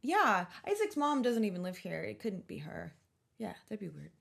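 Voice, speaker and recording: A woman's voice: medium pitch, very animated, moderate pace, vocal fry, a bit bright. A woman hosting a podcast, chatting casually in an informal, rambling, enthusiastic way. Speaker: podcast host. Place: podcast recording.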